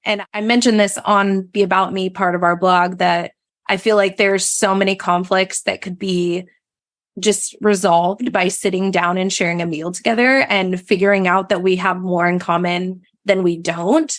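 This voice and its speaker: A woman's voice: medium pitch, steady tone, moderate pace, no echo, studio quality. A woman speaking as an interview guest, telling personal stories in a conversational way. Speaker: podcast guest, food blogger.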